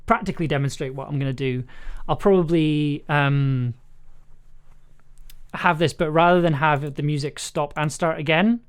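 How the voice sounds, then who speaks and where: A man's voice: a little high, normal speed, smooth. A man giving casual, hesitant livestream commentary as he edits audio, thinking aloud as a producer. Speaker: streamer and audio drama producer.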